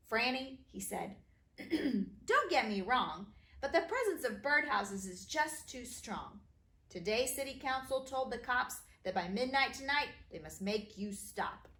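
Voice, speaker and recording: A woman teacher reading children's stories aloud in an expressive, sing-song way for young listeners. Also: on the high side, a little slow. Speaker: kindergarten teacher reading her own stories. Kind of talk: animated children's storybook reading. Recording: roomy echo, faint background noise.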